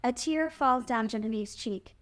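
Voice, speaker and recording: Female narrator, higher-pitched voice, scripted delivery of screenplay action and scene headings. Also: normal speed. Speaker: narrator reading stage directions. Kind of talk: scripted narration at a table read.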